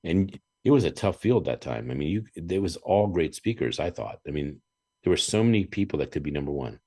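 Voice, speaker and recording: A man hosting a podcast, chatting casually and telling animated stories with chuckles and frequent throat-clearing. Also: sits low, brisk. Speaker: podcast host. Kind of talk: casual interview chat.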